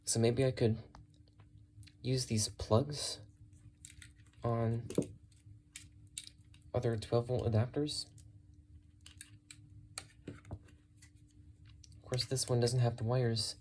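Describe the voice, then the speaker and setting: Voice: mid-range voice, little pitch movement, drawn-out words, long pauses, mellow, slight background noise. A person narrating casually while working hands-on, musing and thinking aloud. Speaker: radio collector presenting a thrift find.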